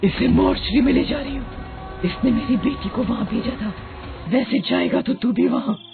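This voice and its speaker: An actor voicing the vengeful, menacing ghost of a wronged mother in a horror story, speaking in slow threats. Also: mid-range voice, gravelly, natural voice. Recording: tinny phone-like sound with music behind.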